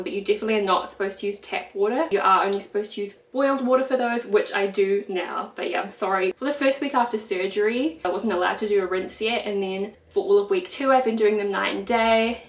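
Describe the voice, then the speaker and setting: Stuffy-nosed young New Zealand woman. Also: mid-range voice. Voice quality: nasal. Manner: chatty, filler-heavy. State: congested, stuffy nose. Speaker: vlogger. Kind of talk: casual chat. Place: home kitchen. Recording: echoey room.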